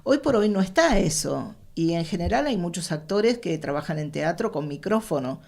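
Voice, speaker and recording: Gravelly, low-voiced Argentine woman in interview. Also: animated, normal speed, a little mellow. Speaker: radio drama professional being interviewed. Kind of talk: conversational interview answers.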